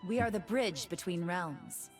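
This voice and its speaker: A woman's voice: lowish voice, lively intonation, unhurried. An actress playing an ancient nine-tailed fox woman in a video game, poised, teasing and tender, speaking scripted lines. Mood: tender, wistful. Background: music.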